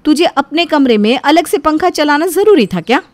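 A woman voicing a defiant, quarrelsome elder daughter-in-law in an animated family story, arguing indignantly. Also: sits high, moderate pace, no echo, voice pushed up. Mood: accusing. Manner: argumentative, indignant.

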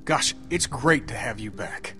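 A man's voice: medium pitch, lively intonation, unhurried, bright, forceful tone, faint music behind. An actor playing a middle-aged male survivor in a video game, plain-spoken and folksy, with a Southern US accent. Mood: weary, reflective. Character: gruff, warm-hearted middle-aged male survivor, grieving but hopeful. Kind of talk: naturalistic video game voice acting.

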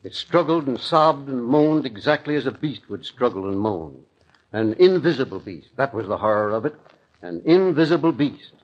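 Actor as grave older doctor, muffled radio drama. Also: male voice, medium pitch, expressive, moderate pace, lightly acted. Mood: haunted narration. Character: aging doctor, grave, takes charge, unsettled by horror. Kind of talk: old-time radio mystery acting. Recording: muffled, noisy 1970s radio drama recording.

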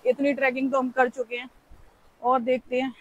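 A woman's voice: high voice, level tone, normal speed, dull audio. A young woman vlogging casually as she hikes outdoors on a forest trail, talking into a handheld phone camera in a chatty, playful way and exclaiming as she goes. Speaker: travel vlogger. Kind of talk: casual vlog walking commentary.

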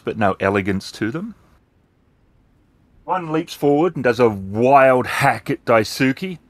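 A man's voice: mid-range voice, no echo. A man acting as game master, narrating the scenes of a tabletop role-playing game descriptively.

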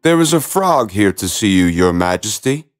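A man's voice: lowish voice, very animated, normal speed, soft background music. A man voicing a dutiful palace servant in a storybook, making announcements in a formal manner. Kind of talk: storybook character voice.

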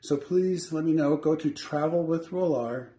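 A man's voice: on the high side, normal speed, warm tone, breathy edge, dry recording. A man in his fifties, a travel vlogger, giving a plain, matter-of-fact narration. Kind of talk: casual campground tour narration. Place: moving vehicle. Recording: faint car noise behind.

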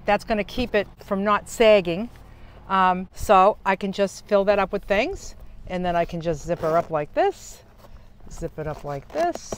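An older woman giving a casual, chatty how-to tour as a vlogger, explaining things as she works with her hands. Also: mid-range voice. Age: older, senior. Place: outdoors at the open side door of a camper van. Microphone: small clip-on lavalier mic.

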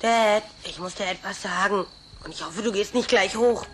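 A woman's voice: medium pitch, moderate pace, a bit bright, slight background noise, lightly acted. A woman voicing a cheeky, evasive ten-year-old schoolboy in a German cartoon dub. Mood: confessing, tender.

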